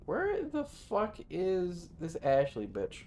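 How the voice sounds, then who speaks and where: A man's voice: sits high, wildly swooping pitch, slow, a little mellow, slight background noise. A man live streaming a game, chatting casually in a rambling, sweary way. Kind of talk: casual gaming stream chat. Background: game music.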